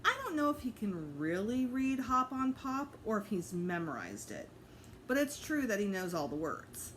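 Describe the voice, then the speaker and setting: A woman's voice: mid-range voice, big pitch swings, normal speed, fairly bright tone, slight background noise. A woman narrating a children's book aloud as a teacher reading to students.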